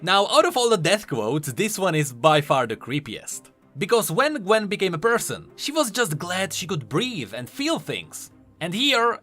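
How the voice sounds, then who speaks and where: A man's voice: a little high, bright, forceful tone. A man hosting a game lore video, giving emphatic, explanatory voice-over commentary. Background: faint music.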